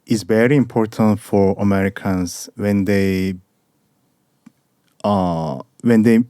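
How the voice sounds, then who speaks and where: Podcast host: man in his thirties, mellow and smooth, dry close mic. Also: fairly low pitch, takes it slowly, dry recording. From South Korea. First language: Korean. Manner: relaxed, short prompts and questions. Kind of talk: casual interview chat.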